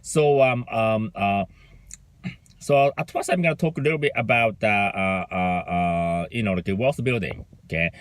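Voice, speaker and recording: A man from Japan with a Japanese accent, giving an unscripted motivational talk as a business mentor, full of fillers and "right?" checks. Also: lowish voice. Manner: halting, heavy fillers, frequent "right?" checks.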